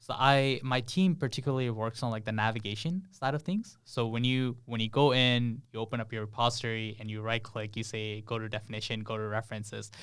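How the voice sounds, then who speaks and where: A young man from Bangladesh speaks in a smooth, clear voice at a middling pitch. He is a guest on a casual podcast, chatting and laughing easily. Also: fairly even intonation, brisk, few pauses, a bit bright. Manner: laughs often, explains with many fillers. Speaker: podcast guest, software engineer.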